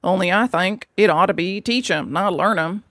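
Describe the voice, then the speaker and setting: An anxious, fussy little gentleman rat played by a woman storyteller. He speaks primly and with care, a touch lower than narration, in a dry recording. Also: dry recording, close to an everyday voice. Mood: humble. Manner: prim, correcting, measured. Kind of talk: storybook character voice.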